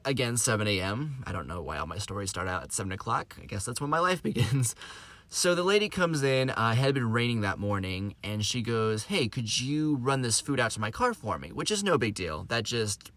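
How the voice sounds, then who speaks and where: Bright, dry-voiced young man rambling. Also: medium pitch, no room sound. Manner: rambling and self-deprecating, with many fillers. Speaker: podcast host. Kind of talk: casual storytelling monologue.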